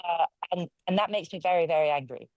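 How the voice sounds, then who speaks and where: A woman's voice: fairly low pitch, quick pace, a little mellow, dry recording, lo-fi sound. Forthright woman councillor from London. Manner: forthright, conversational, speaks her mind. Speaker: local councillor, guest. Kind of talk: interview answers.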